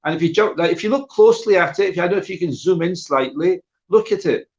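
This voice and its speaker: A man's voice: high-pitched, expressive, quick pace, a little mellow. A middle-aged man giving an informal illustrated talk online, conversational, repeating phrases for emphasis and checking in with his listeners. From born in Guyana. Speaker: guest speaker sharing memories of his father. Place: live online video call. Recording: dull, muffled online stream audio.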